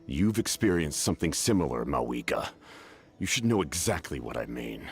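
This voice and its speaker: A man's voice: extremely low pitch, sing-song, moderate pace, faint music behind. A man playing a grim, haunted military commander in a fantasy game, stern and intense in his delivery. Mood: grieving, grave. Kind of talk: scripted fantasy game acting.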